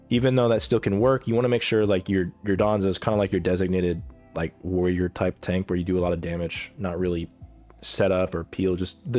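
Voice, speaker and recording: Rambling, profane man with low voice. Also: a little mellow. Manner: rambling, profane, many fillers and restarts. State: getting sick, coughing. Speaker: gaming content creator ranking characters. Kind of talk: casual unscripted commentary.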